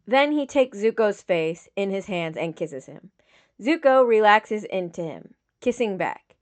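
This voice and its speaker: A woman reading dramatic fiction as a scripted narration, with measured, solemn phrasing. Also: medium pitch, big pitch swings. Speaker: audiobook reader of fan fiction.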